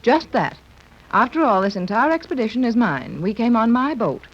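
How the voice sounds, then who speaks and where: A firm, reassuring leader with a lively voice, old radio. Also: female voice, fairly high pitch, moderate pace. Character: Patricia, firm expedition leader and mother. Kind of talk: 1930s radio acting. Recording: muffled 1930s radio recording.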